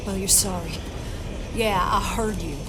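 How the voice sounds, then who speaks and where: An actress playing a bitter, wounded American frontier widow in a video game, speaking in a curt, scornful manner. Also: female voice, mid-range voice, fairly even intonation, a little slow, noisy background, lightly acted. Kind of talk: dramatic video game acting.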